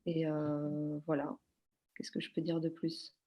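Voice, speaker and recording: A woman's voice: low-pitched, completely flat delivery, a little slow, warm tone. A woman from Belgium, a podcast guest, talking in a hesitant conversational way with many fillers and restarts. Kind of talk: conversational interview.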